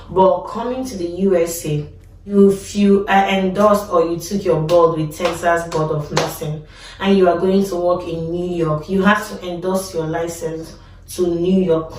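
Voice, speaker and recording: A woman's voice: fairly low pitch, a little mellow. A woman with a Nigerian accent, a nurse giving advice in a casual vlog, talking conversationally and repeating words for emphasis. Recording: echoey room, distant from mic.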